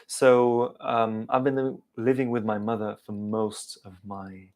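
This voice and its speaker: A man's voice: on the low side, level tone, unhurried, a little mellow. A man in his thirties with an English accent tinged with South African, chatting hesitantly with many fillers while answering viewer questions live. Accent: English with some South African. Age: 30s. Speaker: car channel host. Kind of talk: live stream Q&A chat.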